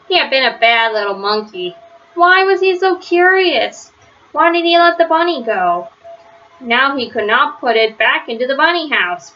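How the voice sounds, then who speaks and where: A woman with a bright, fairly high voice reads a children's storybook aloud. She phrases each sentence simply and deliberately, leaning on key words for young listeners. The sound is slightly dull and lo-fi, like a home recording. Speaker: storybook reader. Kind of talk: children's read-aloud narration.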